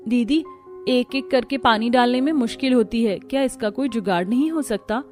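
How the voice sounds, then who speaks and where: A woman voicing an eager, thrifty young Indian daughter-in-law in a family cartoon, chatty and animated. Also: a little high, normal speed, faint music behind, voice pushed up, lightly acted. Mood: earnest. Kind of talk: Hindi family cartoon dialogue.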